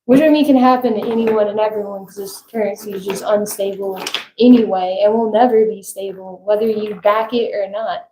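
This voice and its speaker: A woman's voice: mid-range voice, fairly even intonation, a little fast. A woman co-hosting a casual livestream, speaking bluntly and wryly. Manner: blunt, wry. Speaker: co-host. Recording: echoey room with faint noise.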